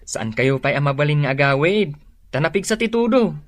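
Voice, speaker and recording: An actor with an Ilocano Filipino accent playing a friendly, obliging single farmer in a radio drama. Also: male voice, fairly high pitch, animated, fairly quick, no echo, close to an everyday voice. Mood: welcoming.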